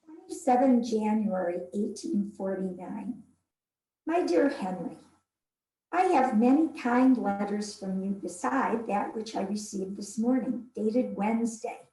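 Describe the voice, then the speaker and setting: A woman plays a devoted young wife of the 19th century, reading her letters in a dramatic style. Her voice is soft-edged and mellow, her phrasing formal and earnest. The room adds a noticeable echo. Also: medium pitch, animated, unhurried, lightly acted. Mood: affectionate. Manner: formal, heartfelt, literary delivery.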